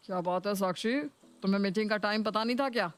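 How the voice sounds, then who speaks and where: A woman's voice: mid-range voice, fast, clean tone, lightly acted. A woman voicing a stern, sarcastic, scolding office boss in a Hindi cartoon story.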